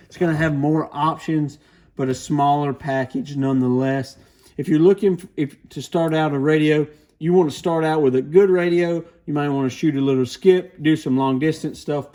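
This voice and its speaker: A man with a medium-pitched voice walks through a product for beginners. He speaks informally and plainly, explaining each point in the casual style of a hands-on reviewer. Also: darkish tone. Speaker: radio shop product reviewer, presenter.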